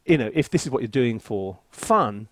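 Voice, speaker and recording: A man chatting casually as he hosts a software review, with an animated, theatrical and self-mocking manner. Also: mid-range voice, normal speed. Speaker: composer and reviewer, video host.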